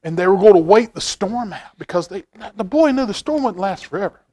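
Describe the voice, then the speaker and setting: A middle-aged man with a somewhat high voice hosts a children's storytime. He reads expressively and talks to young listeners in a folksy way, often repeating a phrase to drive it home. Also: lively intonation, brisk, darkish tone. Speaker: library storytime reader, stand-in host. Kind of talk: animated picture-book read-aloud with asides to children. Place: indoor library room, seated at a table.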